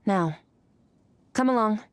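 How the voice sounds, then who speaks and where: A woman's voice: medium pitch, a little hiss or hum. A woman playing a cool, stern warrior mentor in a video game, speaking in a detached, businesslike way. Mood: cold, curt. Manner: detached, terse, matter-of-fact.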